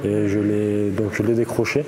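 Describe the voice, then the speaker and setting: A man's voice: lowish voice, level tone, normal speed, noisy background. A man in his twenties, a competitive boxer, answers interview questions in a plain, matter-of-fact way. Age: 20s.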